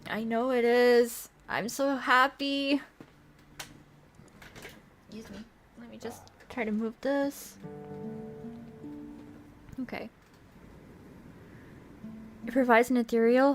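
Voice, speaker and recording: A woman's voice: on the high side, slow pace, pauses often, soft background music. A young woman streaming, chatty and playful, moving between casual chat and reading a visual novel aloud. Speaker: streamer and host.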